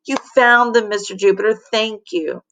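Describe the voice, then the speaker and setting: A woman's voice: medium pitch, normal speed, fairly bright tone, natural voice. An honest, modest little schoolgirl from a storybook, voiced by a woman reader. She sounds earnest and everyday, with only a slight lift from plain narration. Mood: delighted.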